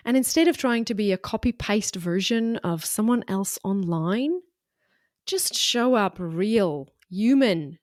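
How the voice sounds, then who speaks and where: A woman's voice: medium pitch, darkish tone, no room sound, studio quality. A middle-aged woman from South Africa with a mixed South African and Australian accent, hosting a motivational solo podcast for business owners in a frank, encouraging way. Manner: frank, encouraging, punchy short phrases. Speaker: podcast host and business coach.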